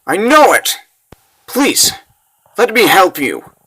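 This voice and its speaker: Man voicing an anxious, high-pitched young girl. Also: wildly swooping pitch, takes it slowly, bright, forceful tone, husky, heavily acted. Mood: worried, pleading. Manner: pleading. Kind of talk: fantasy comic dub.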